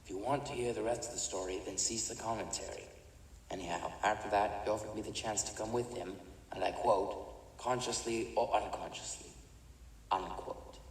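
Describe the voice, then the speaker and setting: An actor in an audio drama playing a remorseless older criminal chemist, speaking in a measured, haughty, matter-of-fact way. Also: male voice, lowish voice, fairly even intonation, close to an everyday voice. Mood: cold, matter-of-fact. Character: cold, remorseless older male villain, an imprisoned mad chemist. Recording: echoey reverberant room, distant, faint noise.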